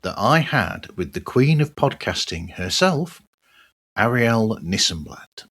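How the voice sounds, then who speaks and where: Slow man with lively pitch. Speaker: podcast host. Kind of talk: podcast introduction and conversation.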